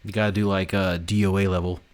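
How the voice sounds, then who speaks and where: Male stream host, deep voice, dry teasing banter, relaxed conversational delivery. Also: fast talker, clean tone. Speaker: livestream host and game developer. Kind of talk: casual chat.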